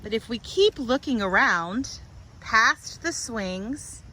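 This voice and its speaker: A woman presents a storytime for young children. She speaks slowly in a fairly high, very animated voice, with simple, deliberate phrasing. She is outdoors, with faint noise behind her. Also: breathy edge, poor audio. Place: outdoors in a public park.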